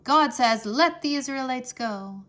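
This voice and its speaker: Lady playing a resolute storybook prophet guy, firm, bright highish voice. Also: a little slow. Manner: declarative, firm.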